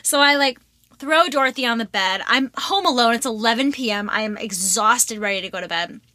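Young woman podcast host chatting casually, bright highish voice, animated and sweary, really dry sound. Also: a little fast, dry recording. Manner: animated, giggly, sweary, acts out dialogue. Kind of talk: casual chat and personal storytelling.

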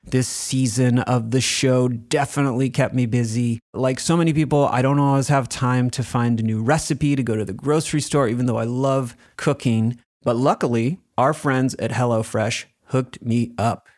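A man's voice: mid-range voice, steady tone, normal speed, hardly any pauses, a little mellow. A man, the creator of a show, delivering a scripted ad read to listeners. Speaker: show creator reading a sponsor message.

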